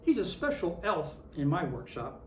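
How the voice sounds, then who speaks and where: A reader in character as Santa Claus, reading a children's picture book aloud with expressive storytelling. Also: mid-range voice, moderate pace. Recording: roomy, distant mic with faint noise.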